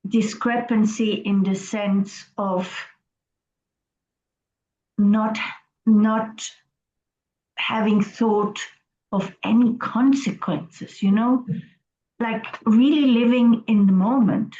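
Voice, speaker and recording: A woman's voice: on the high side, steady tone, slow, long pauses, dark tone. Hesitant woman on a roomy, dull video call. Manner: hesitant, reflective, restarts words. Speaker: class participant.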